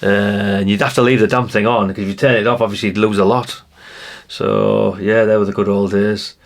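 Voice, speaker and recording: Low, gravelly man reminiscing. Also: little pitch movement, a little fast. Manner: rambling, chatty, musing aloud to the viewer. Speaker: retro collector presenting a video. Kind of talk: casual nostalgic commentary while browsing.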